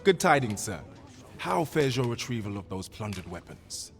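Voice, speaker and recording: An actor playing a courteous guild clerk in a fantasy game, speaking formally and apologetically in old-fashioned phrasing. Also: male voice, lowish voice, very animated, moderate pace, over music. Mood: courteous, helpful. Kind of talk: scripted fantasy game dialogue. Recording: long hall reverb, noisy ambience behind.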